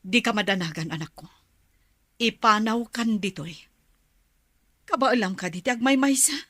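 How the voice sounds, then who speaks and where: A woman's voice: medium pitch, moderate pace, fairly bright tone, dry recording, clean studio sound, natural voice. A woman acting a caring but firm middle-aged mother in an Ilocano radio drama, emotive, with a Filipino accent. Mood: tender.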